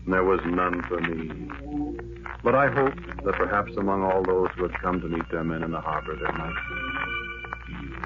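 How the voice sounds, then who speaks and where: Deep-voiced, haunted war veteran, old radio drama. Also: male voice. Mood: wistful, searching. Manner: brooding, poetic, deliberate dramatic delivery. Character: haunted, lovelorn war veteran, weary office worker. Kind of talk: 1940s radio drama acting, intimate first-person narration. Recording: noisy, muffled old radio recording.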